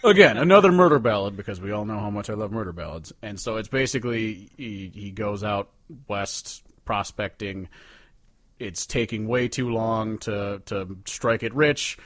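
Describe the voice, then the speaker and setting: Low-voiced man rambling casually, dry close sound. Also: moderate pace, no room sound. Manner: rambling, profane, conversational. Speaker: podcast co-host. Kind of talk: casual chat, ranking songs with commentary.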